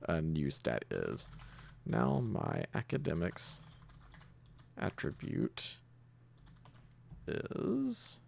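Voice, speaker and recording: Very deep, gravelly-voiced man teaching. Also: darkish tone, dry recording. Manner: thinking aloud, hesitant pauses. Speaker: tutorial host, programming instructor. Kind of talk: casual screen-share coding tutorial, improvised.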